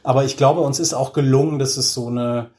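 A man's voice: medium pitch, steady tone, fairly quick, darkish tone. A man speaking casually and anecdotally as an interview guest, with a colloquial, self-deprecating way of talking. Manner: colloquial, self-deprecating, acts out quoted remarks. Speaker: interview guest, audio drama director.

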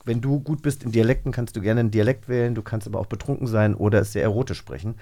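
A man's voice: lowish voice, quick pace, mellow. A man hosting in a casual, conversational chat style. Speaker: host.

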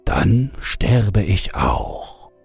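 A man voicing a sly, sinister deathless old villain in a fairy tale, with a breathy, gravelly rasp and a slow, menacing delivery. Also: on the low side, huge pitch swings, soft background music, voice pushed up, exaggerated voice. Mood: mocking, secretive.

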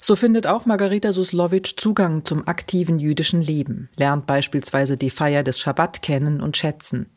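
A woman hosting a short documentary format, reading scripted narration in an even, measured way. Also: low-pitched. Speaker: host, narrator.

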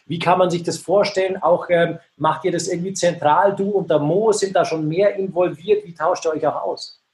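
Man, slightly high pitch, podcast co-host, conversational, stacked questions. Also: steady tone, moderate pace, a little mellow. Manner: piles up questions.

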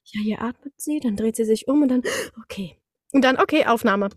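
A woman's voice: fairly high pitch, fairly quick, dry recording, studio quality. A woman explaining her work as a voice actor in casual conversation, acting out little moments with sound words as she goes. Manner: animated storytelling with little acted-out sound words. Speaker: voice actor, interview guest.

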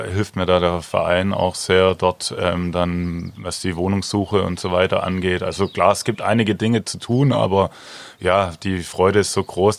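Low, flat-voiced man, relaxed answers. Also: gravelly. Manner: relaxed, hesitant, many fillers. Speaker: handball player, guest. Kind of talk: casual interview answers.

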